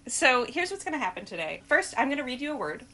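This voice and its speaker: A woman's voice: high-pitched, big pitch swings, fast, pushed and bright. Chatty, upbeat woman hosting. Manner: chatty, upbeat, laughs often. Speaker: host of a language quiz video. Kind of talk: casual game-show presenting and chat.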